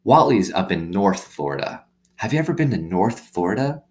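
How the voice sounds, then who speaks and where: A man's voice: lowish voice, big pitch swings, brisk, darkish tone, voice pushed up. A man reading a storybook, voicing a lonely, earnest young girl who confides plainly in her dog. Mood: earnest, confiding. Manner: plain-spoken, confiding, childlike phrasing. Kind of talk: storybook read-aloud.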